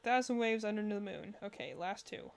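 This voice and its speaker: A woman's voice: medium pitch, animated, normal speed, creaky. A woman giving casual reaction commentary as a streamer, rambling and thinking aloud as she goes. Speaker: streamer reacting to game character demos.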